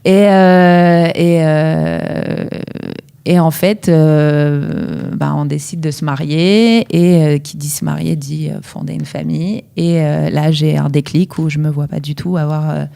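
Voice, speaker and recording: A woman's voice: low-pitched, fairly even intonation, slow, vocal fry, warm tone, smooth. A woman from northern France in her thirties, a dance coach telling her story in a casual interview, hesitant with many fillers.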